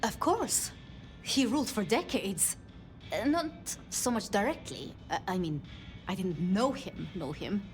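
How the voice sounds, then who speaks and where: Hesitant, expressive young-apprentice vampire woman. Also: medium pitch. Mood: pensive. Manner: hesitant, self-deprecating, halting. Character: Safia, modest, unsure vampire apprentice woman. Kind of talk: video game dialogue acting. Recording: music behind the voice.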